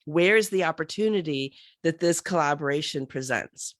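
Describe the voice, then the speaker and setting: Low, slightly hoarse woman, dry sound. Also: normal speed, dry recording. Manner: animated, thinks aloud with many fillers and restarts. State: hoarse from a cold. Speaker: podcast guest, podcasting coach. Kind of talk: casual interview chat.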